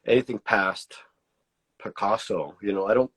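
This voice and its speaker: Low-voiced hesitant man, slight border accent. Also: normal speed, a bit bright, husky. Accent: pocho border Spanglish-tinged American English. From Mexico. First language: Spanish. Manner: hesitant and searching, many restarts and fillers. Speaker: artist, interview guest. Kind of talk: casual interview talk.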